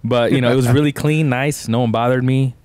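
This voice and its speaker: A man's voice: mid-range voice, fairly even intonation, brisk, darkish tone, dry recording. A young man from Tucson, co-hosting a podcast and telling a rambling, laid-back story, often saying "bro". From Tucson, Arizona. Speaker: podcast co-host. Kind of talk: casual storytelling chat.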